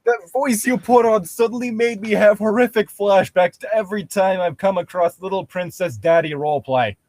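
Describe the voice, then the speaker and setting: A man's voice: high-pitched, moderate pace, darkish tone, no room sound. A man reading fan fiction aloud as a co-host, casually mocking it with profane asides.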